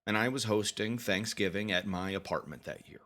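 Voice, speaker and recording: A man telling a first-person story as a dramatic confession, with deliberate pauses and mock-grave, theatrical emphasis. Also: lowish voice, fairly bright tone. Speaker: storytelling podcast narrator.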